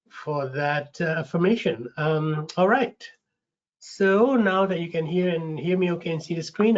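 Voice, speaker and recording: A man with a slight rasp from a cold hosts an online info session, friendly, with lots of ums and restarts. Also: fairly high pitch. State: getting over a cold, a bit raspy. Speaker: webinar host, recruitment manager. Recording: dull lo-fi webinar audio.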